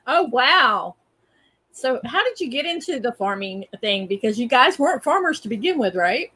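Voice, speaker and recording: A woman's voice: high-pitched, sing-song, normal speed, sharp tone, breathy edge. A woman hosting a podcast, asking short friendly questions in a casual interview. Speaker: podcast host.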